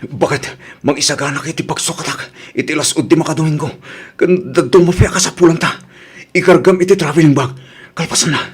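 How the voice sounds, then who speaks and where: An actor playing a drunk, hot-tempered, bullying husband in an Ilocano radio drama, speaking Ilocano-accented with a slurred, taunting delivery. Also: male voice, a little high, steady tone, a little fast, husky. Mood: urgent, gruff.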